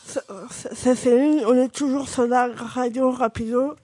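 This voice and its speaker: A woman co-hosting an amateur radio show, speaking haltingly with many restarts. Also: high-pitched, fairly even intonation, over loud music.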